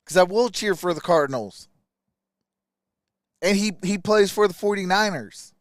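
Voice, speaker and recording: Male sports stream host, smooth high voice, colloquial fan talk, close dry sound. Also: normal speed, no room sound. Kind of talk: casual live sports commentary.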